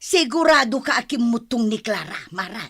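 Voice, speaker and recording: A woman acting an excitable, worried Filipino village wife with an Ilocano accent in an audio drama. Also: a little high, normal speed. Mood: alarmed.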